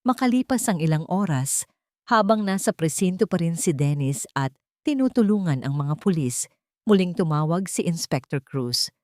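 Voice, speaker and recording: A woman's voice: lowish voice, lively intonation, moderate pace, darkish tone, no room sound, studio quality. A woman with a Filipino accent narrating a dramatic story as a scripted audiobook.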